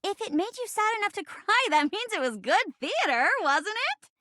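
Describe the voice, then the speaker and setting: An actress voicing a dorky, playful young woman who loves amateur theater, a video game character with an expressive manner. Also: very high voice, moderate pace, dry recording, studio quality, heavily acted. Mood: casual, teasing. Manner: expressive, dramatic, slips between stagey and casual.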